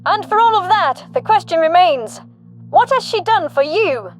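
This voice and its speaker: Woman playing female alien campaign announcer for a sci-fi audio story: extremely high pitch, accusatory, rhetorical, scripted. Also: animated, normal speed, faint music behind. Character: female alien political attack-ad narrator, indignant and pointed. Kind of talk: scripted political attack ad.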